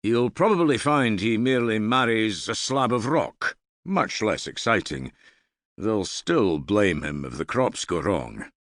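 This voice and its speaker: A man's voice: on the low side, lively intonation, unhurried, fairly bright tone, no echo, voice pushed up. A man voicing a self-assured Norse earl in an audiobook, with a dry, amiable irony. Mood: dryly ironic. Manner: dry, amiable, gravely ironic. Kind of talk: audiobook character dialogue.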